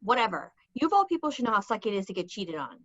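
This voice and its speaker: Comedy table read: female actor as blunt, snarky sister, rapid high voice, muffled recording. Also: very animated, fairly bright tone.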